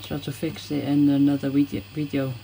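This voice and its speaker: A casual vlogger shows off gadgets in simple, informal words. The voice sits at a medium pitch, recorded indoors on a home camera with clear noise behind it. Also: completely flat delivery, brisk, dark tone, low-quality recording. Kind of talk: casual product demonstration.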